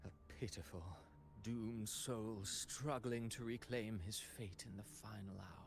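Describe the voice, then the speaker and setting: A man playing a coolly mocking villain in a game cutscene, pompous, measured and theatrical. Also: on the low side, fairly even intonation, creaky, background noise. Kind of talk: dramatic game cutscene acting. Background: music.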